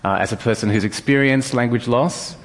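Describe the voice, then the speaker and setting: A man's voice: medium pitch, fairly quick. A man from Australia, speaking unscripted as a journalist on a panel. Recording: echoey hall reverb.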